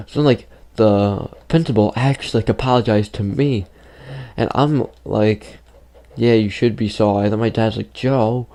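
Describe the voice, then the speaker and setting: A man's voice: lowish voice, warm tone. Rambling teen boy with a fading voice. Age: teens. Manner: rambling, full of "like" fillers. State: losing his voice. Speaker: gaming YouTuber. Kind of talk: casual storytelling over gameplay.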